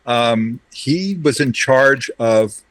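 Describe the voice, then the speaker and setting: A man's voice: medium pitch, animated, extremely slow, fairly bright tone. A middle-aged man, born in Romania and raised in Brooklyn, telling brash, joking stories as an interview guest. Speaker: interview guest, ad creative director. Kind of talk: casual storytelling.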